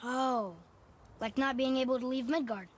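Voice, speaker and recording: A man's voice: very high-pitched, fairly even intonation, moderate pace. A young male actor playing an earnest, curious, kind-hearted boy in a video game, plainspoken. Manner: plainspoken, inquisitive. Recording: ambient engine-like noise.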